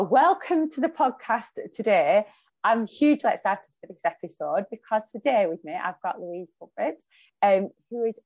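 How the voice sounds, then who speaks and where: A woman's voice: medium pitch, darkish tone, no room sound. A woman with a broad Lancashire accent hosting a podcast interview, chatty and enthusiastic, with frequent ums. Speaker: podcast host. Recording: dull lo-fi sound, dry, faint music.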